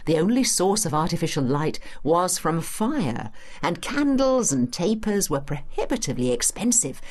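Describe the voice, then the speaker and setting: A woman's voice: lowish voice, very animated, moderate pace, darkish tone. A woman playing a knowledgeable tour guide in a scripted educational audio drama, explaining history to a companion. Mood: instructive, assured. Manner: instructive, explanatory, addressing a companion.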